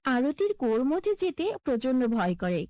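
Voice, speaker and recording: A woman's voice: high-pitched, very animated, normal speed, darkish tone, no echo, clean studio sound. A woman narrating a scripted fairy tale as a storyteller.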